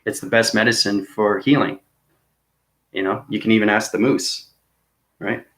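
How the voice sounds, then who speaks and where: Rambling low-voiced man talking space. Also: fairly even intonation, moderate pace, fairly bright tone. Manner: rambling, repetitive, laughs at himself. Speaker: video host. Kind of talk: rambling cosmic monologue to viewers.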